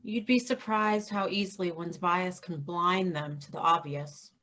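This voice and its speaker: A woman's voice: on the low side, a little slow, fairly bright tone. Shrewd, wry woman engineer, played by an actress. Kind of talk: staged play reading.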